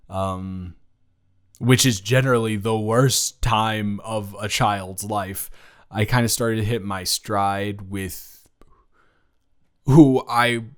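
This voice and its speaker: A man's voice: lowish voice, clear, resonant tone. A man playing a nervous, smitten young college athlete reunited with a childhood friend, rambling and stammering earnestly in an improvised romantic audio roleplay. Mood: reflective, easygoing.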